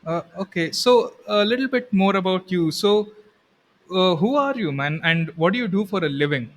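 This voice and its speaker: This is a man with an Indian accent and a voice pitched a little high. He hosts a podcast, putting questions to his guest in a casual, conversational way. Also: lively intonation, normal speed, a bit bright. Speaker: podcast host. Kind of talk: casual interview.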